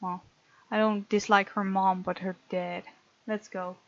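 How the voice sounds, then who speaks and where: A woman's voice: mid-range voice, flat delivery, a little slow, no echo, lo-fi sound. A woman hosting a gaming video, expressively reading game text aloud with casual, playful commentary.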